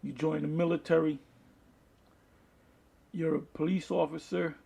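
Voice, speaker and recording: A man preaching from the Bible, reading verses aloud and explaining them in a halting, deliberate manner, with a husky, gravelly voice. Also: on the high side, flat delivery, dark tone. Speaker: street-style Bible preacher. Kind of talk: Bible teaching with scripture read aloud and commentary.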